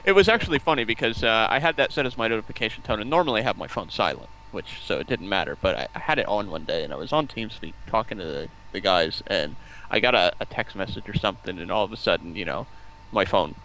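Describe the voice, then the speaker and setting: A man's voice: lowish voice, lively intonation, fast talker, sharp tone, slight background noise, poor audio. A man who is a guest on a live stream, chatting casually and telling anecdotes.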